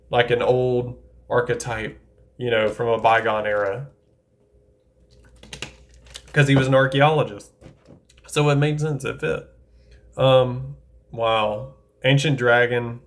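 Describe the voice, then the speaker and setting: A man giving casual, enthusiastic tier-list commentary, talking things through aloud and correcting himself as he goes. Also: mid-range voice. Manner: chatty, enthusiastic, thinks aloud with self-corrections. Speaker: anime tier-list creator.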